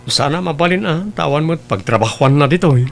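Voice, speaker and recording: A man's voice: fairly high pitch, lively intonation, brisk, a bit bright. A man playing an earnest, dutiful grown son in an Ilocano family drama, plain-spoken with an Ilocano accent. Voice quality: creaky. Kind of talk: Ilocano radio-style family drama acting.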